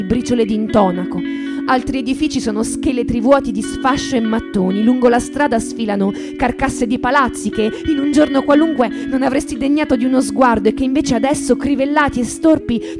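A woman reading a literary novel for radio in a measured, expressive, dramatic style. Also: on the high side. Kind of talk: dramatic literary narration with music. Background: plucked guitar music.

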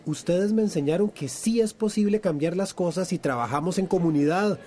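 Radio drama: actor playing sensible, keen male activist, slightly high voice. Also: a little fast, warm tone. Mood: grateful, keen. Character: grateful, sensible young-hearted male activist among villagers.

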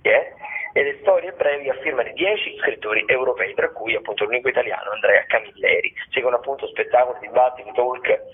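A man's voice: medium pitch, quick pace, husky. A man giving a radio round-up of events as a regular contributor, chatty and rambling, correcting himself as he goes, over the phone. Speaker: theatre critic, radio show contributor. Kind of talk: spoken weekly listings round-up. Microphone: telephone. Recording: muffled, band-limited telephone call.

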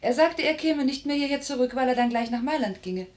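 An actress playing a kindly, homely older aunt who keeps a shop, in a radio drama, speaking expressively. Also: female voice, high-pitched, lively intonation, a little fast. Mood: surprised, puzzled.

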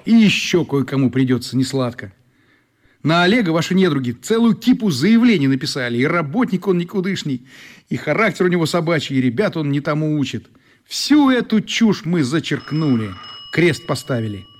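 An actor playing a sympathetic, frank man with a husky voice who explains things earnestly in a radio play. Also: fairly high pitch, sing-song, normal speed, a little hiss or hum. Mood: frank, confiding.

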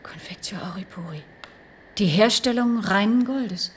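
A woman's voice: medium pitch, a little slow, slight background noise, lightly acted. An actress playing an adventurous, alert female assistant in a radio play. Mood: wary, curious. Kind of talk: German radio play acting.

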